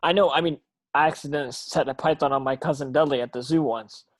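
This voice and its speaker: A man's voice: fairly high pitch, steady tone, fast talker, no echo, lightly acted. A man reading an earnest, defensive young schoolboy wizard in a script read. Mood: defensive confusion. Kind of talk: online script table read.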